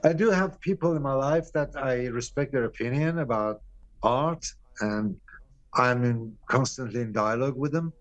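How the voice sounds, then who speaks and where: A middle-aged man from Iran, a painter being interviewed, talking candidly and bluntly, often pausing and restarting his sentences. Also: medium pitch, dry recording. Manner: candid, blunt, swears casually. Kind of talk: reflective interview answers.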